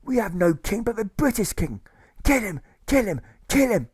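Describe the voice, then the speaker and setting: Shrill, manic officer baying for an execution. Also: male voice, sing-song, normal speed, darkish tone, breathy edge, dry recording, studio quality, heavily acted. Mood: frenzied. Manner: screaming, frenzied. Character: shrieking bloodthirsty male provost marshal.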